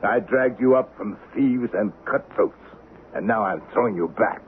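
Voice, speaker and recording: Old Western avenger played by a man, deep and scornful, deliberate radio-play delivery, dull old recording. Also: lightly acted. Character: vengeful, bitter wealthy old Westerner, cold and domineering. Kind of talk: 1970s radio drama acting. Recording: dull, noisy vintage radio drama recording.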